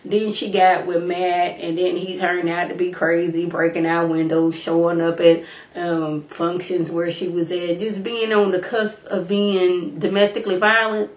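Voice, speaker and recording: A young woman recaps a show into her webcam, her voice stuffy and a little hoarse from a cold. She talks loose and slangy, calling her viewers y'all, with a lowish voice. The small home room gives off a noticeable echo. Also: little pitch movement, a little slow. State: congested and slightly hoarse from illness. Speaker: reality TV recap vlogger. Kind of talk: casual recap talk to camera. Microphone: webcam at desk distance.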